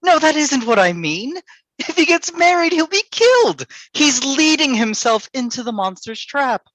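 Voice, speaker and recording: A woman's voice: high voice, animated, normal speed, a bit bright, no room sound, low-quality recording. A woman as haughty critic, sweeping intonation. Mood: alarmed. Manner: emphatic, declarative, cutting. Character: haughty, argumentative, scornful literary critic in gothic drama. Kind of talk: dramatic staged reading.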